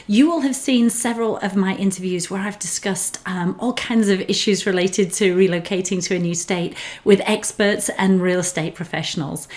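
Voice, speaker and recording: A woman with an English accent who grew up in northern England, speaking as a relocation specialist in an earnest, encouraging talk to camera. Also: medium pitch. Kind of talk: scripted personal talk to camera.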